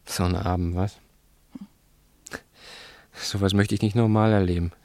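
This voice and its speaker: An actor playing an agitated, decisive milk bar owner who witnessed a crime, in an emphatic, colloquial German radio drama manner. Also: male voice, low-pitched, steady tone, measured pace, darkish tone, no room sound. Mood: weary, brooding.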